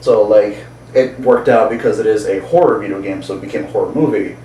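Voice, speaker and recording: A man with a fairly low voice chats as a podcast co-host. He rambles and hunts for words in a casual way. The recording is echoey, with some faint noise. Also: fast talker, mellow. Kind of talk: casual chat. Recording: roomy, distant mic, background noise.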